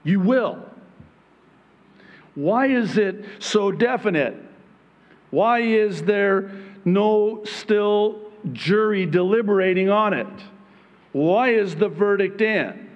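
Slow-preaching pastor with an Arabic accent. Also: male voice, high-pitched, dull audio. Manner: humorous, rising to emphatic near-shouting peaks. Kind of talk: sermon. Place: church stage behind a pulpit. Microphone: pulpit microphone.

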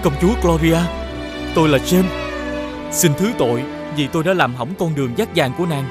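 A man playing a humble, forgiving young prince in an animated fairy tale, speaking formally and earnestly. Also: a little high, fairly quick, lightly acted. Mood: humble, tender. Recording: clear music behind the voice.

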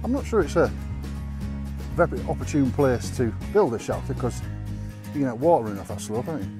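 A man's voice: medium pitch, very animated, fairly quick. A middle-aged man, bantering and swearing casually on a woodland hike, filmed on a handheld camera outdoors. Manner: joking, swears freely. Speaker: vlogger. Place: outdoors in a riverside wood. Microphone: handheld action camera. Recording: background guitar music.

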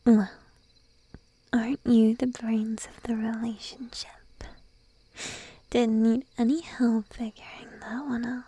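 A woman as a breathy, whispering shy sheep girlfriend. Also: fairly high pitch, mellow. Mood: playful teasing. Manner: slow, drowsy, playfully coaxing. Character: shy, needy, teasing young sheep-girl girlfriend. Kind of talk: ASMR roleplay, intimate whispering. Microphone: close microphone, dry.

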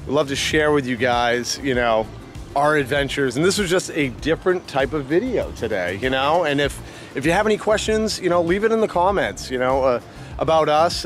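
A man with a Maine accent, hosting a casual vlog outdoors and chatting loosely with many fillers and restarts. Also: mid-range voice. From Maine, USA. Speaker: vlog host. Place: outdoors in a town.

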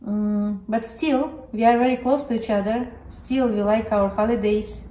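A woman who teaches piano, speaking in a halting, proud way in a documentary interview. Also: a little high, steady tone, mellow, slight background noise. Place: indoor home room by a piano. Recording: echoey room.

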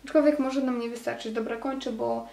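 Chatty polish girl in her 20s talking to a handheld cam, bit high voice, roomy room sound. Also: fairly even intonation, gravelly, dark tone. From Poland. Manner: chatty, gushing, quick asides to viewers. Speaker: vlogger. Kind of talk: casual vlog chat to camera. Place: small bedroom at home. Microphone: handheld camera held close. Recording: roomy camera audio.

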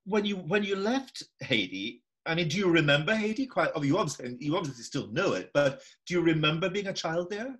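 A man's voice: fairly high pitch, big pitch swings, a little fast, hardly any pauses, fairly bright tone. Older Scottish man musing on a video call. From Scotland. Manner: musing, repeats himself, laughs easily. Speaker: interviewer. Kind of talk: casual video-call interview. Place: home room indoors.

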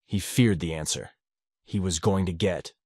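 A man reading fan fiction aloud as an audiobook narrator, his voice low and even. He reads steadily and measuredly. The sound is dry, with no room around it. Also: a little slow, breathy edge, no room sound.

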